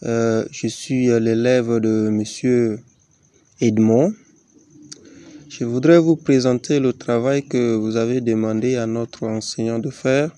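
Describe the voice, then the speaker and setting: Slow, hesitant young man from Chad. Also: medium pitch, steady tone, mellow, no room sound. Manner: hesitant, with fillers. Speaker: high school student. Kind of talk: presenting a school assignment.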